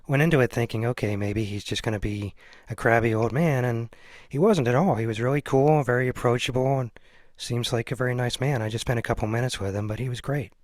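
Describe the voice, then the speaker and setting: A man's voice: medium pitch, brisk, a little mellow, no echo, clean studio sound. A middle-aged man hosting an interview in a casual, conversational style. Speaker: interviewer, podcast host.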